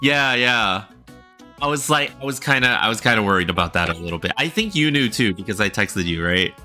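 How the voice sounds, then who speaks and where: A man's voice: lowish voice, lively intonation, a little fast, sharp tone. A man chatting casually on a livestream, rambling and checking in with viewers as he talks. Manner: rambling, talks directly to viewers with frequent "right?". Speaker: livestreamer and illustrator. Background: faint background music.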